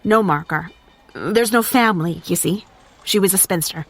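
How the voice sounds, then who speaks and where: An actress playing a cautious, probing young hotel worker in an audio drama. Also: female voice, medium pitch, normal speed, no room sound, lightly acted. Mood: persuasive. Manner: cautious, questioning.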